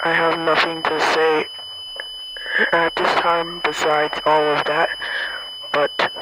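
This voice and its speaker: Male emergency announcer, highish pitch, completely flat and solemn, buried in loud hum and alarm-like noise. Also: slow pace.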